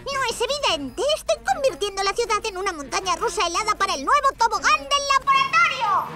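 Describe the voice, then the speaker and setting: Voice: very high-pitched, sing-song, brisk. An actor playing a smug, boastful child mad scientist in a cartoon dub, theatrical, with a European Spanish accent. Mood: gloating. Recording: loud music behind.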